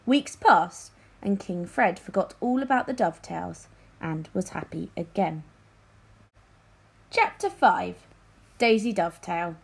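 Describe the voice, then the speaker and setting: Woman, storybook narration, mid pitch, lively intonation, expressive read-aloud. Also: slightly rough. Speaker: teacher reading aloud to pupils.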